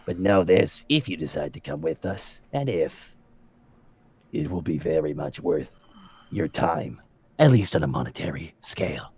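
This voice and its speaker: A man voicing a sly, persuasive adventurer who bargains in a smooth, coaxing way. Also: very deep voice, lively intonation, a little slow, a little mellow, no room sound, full character voice.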